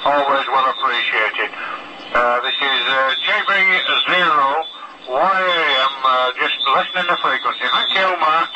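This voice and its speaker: A man's voice: mid-range voice, measured pace. Man on very noisy shortwave radio. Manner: hesitant, spells names in phonetic alphabet. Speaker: amateur radio operator. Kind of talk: ham radio contact chat.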